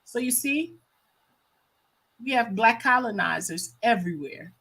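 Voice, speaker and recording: A woman's voice: on the high side, expressive, measured pace, creaky, fairly bright tone. An American woman hosting a livestream with casual, impassioned commentary, stressing points by repeating her phrases. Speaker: livestream host.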